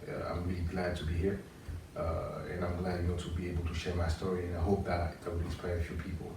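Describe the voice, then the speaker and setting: Deep, flat-voiced man with a French accent. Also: vocal fry. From France. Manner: measured, plain, hesitant with uh. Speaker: guest. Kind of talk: interview storytelling. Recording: roomy, distant mic, faint noise.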